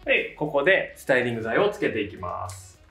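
A man in his thirties presents a practical how-to in a casual, polite explanatory way. His medium-pitched voice moves up and down in an animated fashion. It is recorded at a distance in a roomy, echoing space with faint music behind. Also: fairly quick, gravelly. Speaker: hairdresser giving a tutorial, channel host. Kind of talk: casual step-by-step instructional narration. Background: faint background music.

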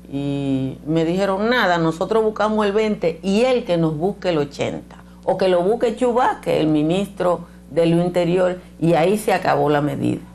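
A woman's voice: on the low side, darkish tone, slight background noise. Blunt older Dominican woman commentator. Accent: Dominican Spanish. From Dominican Republic. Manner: emphatic and blunt, gesturing with her hands. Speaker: political commentator. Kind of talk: opinion commentary to camera. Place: small indoor studio set with bookshelves.

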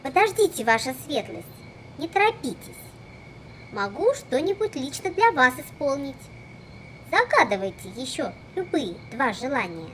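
A very high, swooping cartoon girl genie acted by a woman. She is deferential and flattering, forever addressing her mistress formally. Clear background noise sits behind the voice. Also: normal speed, rough recording. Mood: servile, eager. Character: obedient, flattering young genie girl doll. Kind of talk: children's cartoon dubbing.

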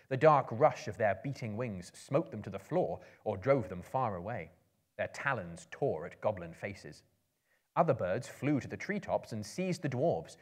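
A man in his thirties reads a tale aloud as a storyteller. His delivery is measured and theatrical, at a middle pitch, shaped like a live dramatic performance. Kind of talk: dramatic literary reading to a live audience. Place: indoor book-lined room, seated in an armchair.

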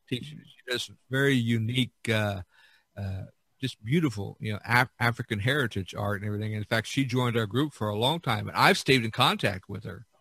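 Rambling man with a bright, forceful voice. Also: medium pitch, husky, dry recording. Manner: rambling, repeats and circles back. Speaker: podcast host. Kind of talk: casual chat, reminiscing.

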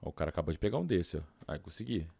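A man's voice: deep voice, big pitch swings, rapid-fire, creaky, mellow, slightly rough. A Brazilian man doing casual gameplay commentary, informal and slangy, thinking aloud into a close mic. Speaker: gaming YouTuber.

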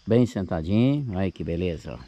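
A man's voice: deep voice, lively intonation, brisk, dark tone, highs cut off. A man in his sixties with a Brazilian accent, narrating a casual camping vlog outdoors. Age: 60s. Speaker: camping vlogger. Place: outdoors on a grassy lakeside campsite.

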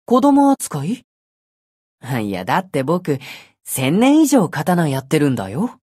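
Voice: mid-range voice, wildly swooping pitch, slow pace, mellow, no room sound, clean studio sound, heavily acted. An actor voicing a childlike, mild-mannered ancient sword spirit in a Japanese game, playfully indignant. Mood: playful protest. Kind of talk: Japanese game character voice lines.

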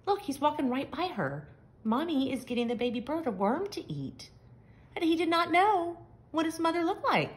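A woman reading a picture book aloud to young children, with expressive, simple and emphatic phrasing. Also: high voice, moderate pace, slight background noise. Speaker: children's story reader. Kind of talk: expressive storybook read-aloud.

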